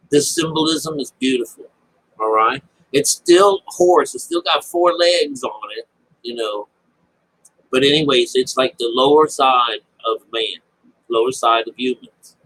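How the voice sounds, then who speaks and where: A man with a husky voice giving informal, unscripted Bible commentary, rambling, repeating phrases and checking in with his listeners. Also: medium pitch, big pitch swings, unhurried, fairly bright tone, slight background noise, rough recording. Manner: rambling explanation with frequent check-ins like "all right?" and "okay?". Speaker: Bible teacher.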